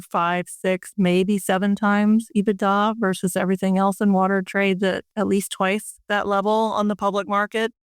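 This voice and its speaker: Older lady expert being interviewed, smooth clean voice, pretty even tone, explains stuff plainly. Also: mid-range voice, no echo. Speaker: industry expert guest and board member. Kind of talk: conversational interview answers.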